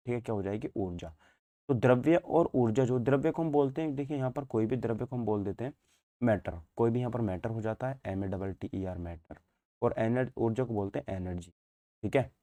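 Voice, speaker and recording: Male teacher, mellow dark tone, dry close sound, informal lecture delivery with repeated check-ins. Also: fairly low pitch, no room sound. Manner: repetitive, asks and answers own questions, frequent check-in tags. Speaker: physics teacher. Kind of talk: informal online exam-prep lecture in Hindi mixed with English terms.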